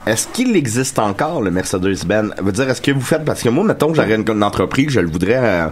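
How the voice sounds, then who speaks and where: A man's voice: lowish voice, very animated, brisk, a little hiss or hum. A man with a Québécois accent co-hosting a comedy radio show, chatty and rambling, full of fillers. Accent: Québécois French. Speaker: co-host.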